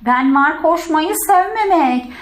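A woman's voice: high voice, animated, takes it slowly. A woman voicing an impatient, whiny little girl doll in a children's toy story, speaking in childlike broken sentences. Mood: whiny, tired. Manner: childlike broken speech with unconjugated verbs. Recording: roomy indoor echo.

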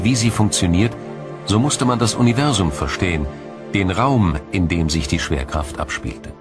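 A man with a deep, low voice narrates a scripted science documentary. His delivery is measured and explanatory. Music plays clearly behind him. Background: background music.

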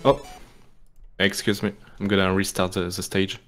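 A man's voice: sits low, completely flat delivery, normal speed, faint music behind. A man from France with a French accent, explaining a game speedrun in hesitant live commentary full of restarts. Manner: hesitant, many restarts and fillers, apologetic.

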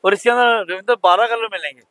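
A man's voice: high-pitched, quick pace, fairly bright tone, no room sound. A man, a wholesale clothing shopkeeper eagerly walking a visitor through his stock. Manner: eager, repetitive, keeps pointing out items. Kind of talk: sales pitch showing stock. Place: clothing shop.

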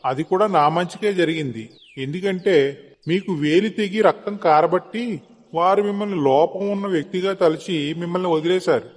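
Guy doing calm humble cartoon minister, respectful, kinda high voice, echoey. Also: moderate pace. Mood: calm, reassuring. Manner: respectful, reassuring. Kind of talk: animated moral story dialogue. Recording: long hall-like reverb.

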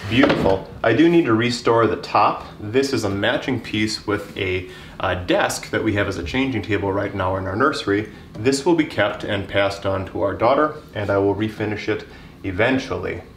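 A man in his thirties showing round his bedroom in a relaxed, chatty way, with frequent ums and restarts. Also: on the low side, normal speed, recorded from a distance, slight background noise. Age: 30s. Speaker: woodworking vlogger. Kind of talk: casual walkthrough. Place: bedroom with wood floor. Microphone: camera at a distance. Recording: roomy, from off-mic.

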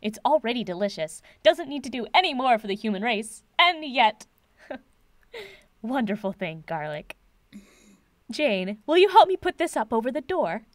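An actress playing an eccentric, cryptic woman professor in an audio drama, breezy and theatrical with deadpan whimsy. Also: fairly high pitch, unhurried, no echo. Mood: gleefully eccentric.

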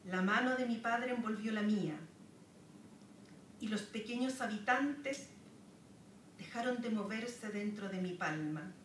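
A Chilean woman recites poetry from a podium at a very slow, measured pace, pausing between each line. She sounds distant, picked up by a camera across a wood-paneled lecture room with a roomy echo and audible background hiss. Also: medium pitch. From southern Chile. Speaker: poet. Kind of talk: poetry reading with spoken asides. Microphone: camera far across the room.

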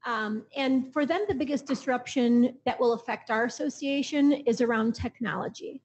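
Husky-voiced woman on a panel stage. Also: a little high, a little mellow, lo-fi sound. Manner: measured, thoughtful, builds long lists. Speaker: panelist, association executive. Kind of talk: panel discussion answers. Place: auditorium stage.

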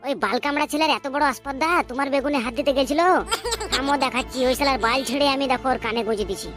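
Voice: high voice, steady tone, racing, lightly acted. A performer voicing a hot-headed young cartoon man who blusters threats. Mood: threatening. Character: hot-headed young male boyfriend, a thug. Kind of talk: cartoon comedy dubbing. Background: music.

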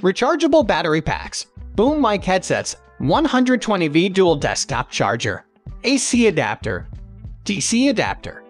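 A man's voice: high-pitched, huge pitch swings, normal speed, faint music behind. A man narrating a scripted product review, reading stiffly from the script.

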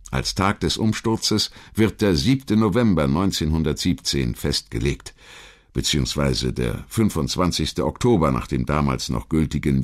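A man reading scripted documentary narration in a measured, dramatic storytelling manner. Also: extremely low pitch.

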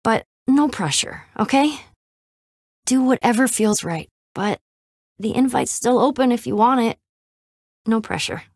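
A woman's voice: a little high, expressive, measured pace, long pauses, a little mellow, dry recording, clean studio sound. An actress voicing a scrappy, wry young female adventurer in a video game, talking in a casual, sarcastic, plain-spoken way. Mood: earnest, hesitant.

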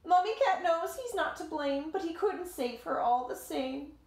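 A woman in her thirties reading a picture book aloud to children like a teacher, with dramatic, deliberate phrasing and simple explanations, recorded in a living room. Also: very high-pitched, animated. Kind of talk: expressive storybook read-aloud with explanatory asides. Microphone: camera or phone a short distance away. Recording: roomy home echo.

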